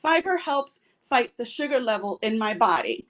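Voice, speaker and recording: High-pitched woman from el salvador chatting thru a cooking demo at home, lots of ums, sounds a bit far from the mic. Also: expressive, normal speed, a bit bright. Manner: chatty, frequent fillers. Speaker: cooking instructor. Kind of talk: casual cooking demonstration. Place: home kitchen.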